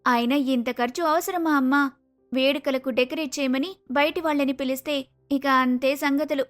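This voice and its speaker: A woman's voice: sits high, steady tone, brisk, faint music behind, natural voice. A woman voicing an earnest, misunderstood younger sister who is a college student, sincere and pleading, in a cartoon story. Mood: eager, questioning. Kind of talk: acted cartoon moral story.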